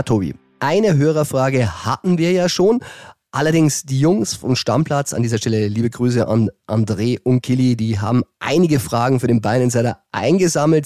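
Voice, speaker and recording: Mellow-voiced man hosting a podcast. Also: medium pitch, no room sound, studio quality. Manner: wry, teasing, asks pointed questions. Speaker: podcast host. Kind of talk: casual sports podcast chat.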